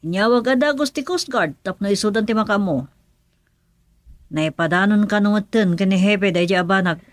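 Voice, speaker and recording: A woman's voice: mid-range voice, moderate pace, dry recording, lightly acted. A woman with an Ilocano Filipino accent playing a loyal, plain-spoken underling in a radio drama. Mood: hesitant.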